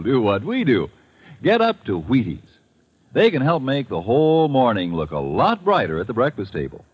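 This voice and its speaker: A man's voice: mid-range voice, breathy edge. An American man delivering a folksy, chatty and emphatic 1950s radio advertisement straight to the listener. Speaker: commercial pitchman. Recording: muffled vintage radio recording.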